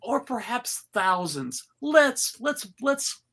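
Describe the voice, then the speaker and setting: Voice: medium pitch, big pitch swings, slow pace, a bit bright. A host narrating a casual live script reading and chatting with a wry, joking manner. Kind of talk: casual live table read with chat.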